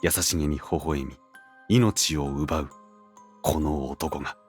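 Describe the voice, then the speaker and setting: Man as very low-voiced, grim, dread-filled assassin narrator. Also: steady tone, slow pace, breathy edge, faint music behind, heavily acted. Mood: dread, stunned. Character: grim, terror-stricken assassin narrating his inner thoughts. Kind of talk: dramatic inner-monologue narration in fantasy audio drama.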